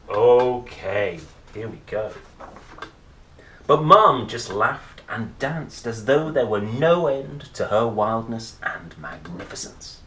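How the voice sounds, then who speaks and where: A man hosting a children's read-aloud, telling a picture book in a playful, expressive, dramatic way with chatty asides. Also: mid-range voice. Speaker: host reading picture books aloud. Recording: roomy echo, faint background noise.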